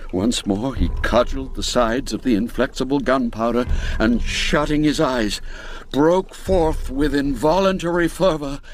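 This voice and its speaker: A man narrating a classic gothic tale in a measured, suspenseful, formal literary manner. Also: mid-range voice. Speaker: audiobook narrator.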